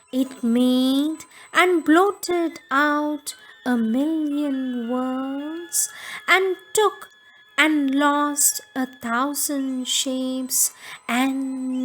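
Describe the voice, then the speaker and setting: A woman reciting spiritual poetry solemnly, in measured, deliberate phrases with frequent pauses, as a reader of verse. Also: high-pitched. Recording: music under the voice. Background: background music.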